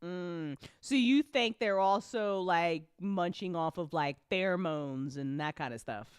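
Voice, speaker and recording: Female, lowish voice, casual and playful chat delivery, very dry sound. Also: a little slow, clean tone, dry recording. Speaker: audio drama after-show participant.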